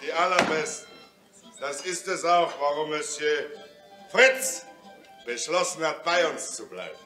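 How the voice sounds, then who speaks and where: High-voiced, declamatory Parisian revolutionary. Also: male voice, animated, unhurried. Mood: proud, philosophizing. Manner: declamatory, theatrical. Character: boisterous Parisian man of the Commune era, philosophizing and singing. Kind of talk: German radio play acting with singing. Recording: roomy, distant radio-play sound with loud music.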